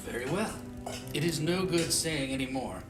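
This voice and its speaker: An actor playing a kindly, wise old wizard in an audio drama, speaking in a measured, avuncular manner. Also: male voice, a little high, animated, natural voice. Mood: calm, grave. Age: older. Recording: echoey, distant, music behind.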